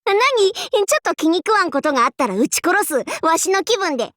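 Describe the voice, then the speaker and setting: A woman's voice: very high-pitched, sing-song, fairly quick, fairly bright tone, no echo, clean studio sound, heavily acted. An actress playing a boastful young female demon-king warlord in an anime game, with archaic lordly speech that flips between haughty and goofy. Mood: boastful, playful. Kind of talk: Japanese anime game character voice lines.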